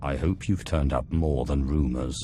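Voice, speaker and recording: Game villain-hero: cold, commanding male sorcerer lord, extremely low voice, faint score behind. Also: moderate pace, warm tone, faint music behind. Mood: cold, dry. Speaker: Sith Lord protagonist. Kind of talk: video game cutscene acting.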